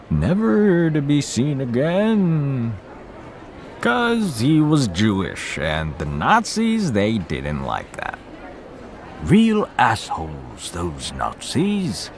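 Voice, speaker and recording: A man's voice: mid-range voice, huge pitch swings, slow, a little hiss or hum, exaggerated voice. A man voicing a boozy, irreverent gossip in a video game, with a sardonic, theatrical drawl. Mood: wry, mocking. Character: boozy, irreverent man who gossips about local history. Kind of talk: video game character dialogue.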